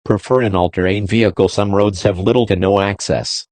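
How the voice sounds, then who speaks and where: Low-pitched man reading emergency alerts. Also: steady tone, brisk, darkish tone, no room sound. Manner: formal, terse official delivery. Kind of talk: scripted emergency broadcast announcement.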